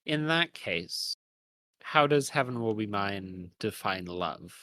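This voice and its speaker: A man's voice: lowish voice, animated, takes it slowly, sharp tone, no echo. A man co-hosting a conversational, analytical discussion in a thoughtful way, sometimes searching for words. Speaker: podcast co-host.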